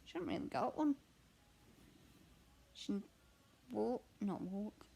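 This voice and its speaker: Young woman, croaky, gravelly, slow, rambling casual chat. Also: medium pitch, animated, dark tone. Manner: chatty, rambling, candid. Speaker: cross stitch vlogger and mother. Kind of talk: casual chat answering a question tag while stitching. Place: at home.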